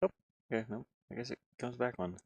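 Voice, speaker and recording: A man's voice: medium pitch, brisk, creaky, a little mellow, dry recording. A man streaming casually, rambling and muttering to himself as he thinks aloud while he works on screen. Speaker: game-streaming host and hobby game designer. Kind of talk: casual livestream chat while working on screen.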